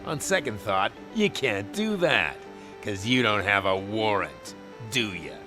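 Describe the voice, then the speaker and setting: A man's voice: fairly low pitch, sing-song, unhurried, music in the background. An actor playing a smug, sleazy male business owner in a video game, talking in a sarcastic, taunting way.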